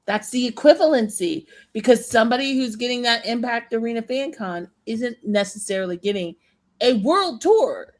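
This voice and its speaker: A woman's voice: on the high side, animated, moderate pace. A woman co-hosting a podcast in casual chat, laying out her points at length with emphasis. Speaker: podcast co-host.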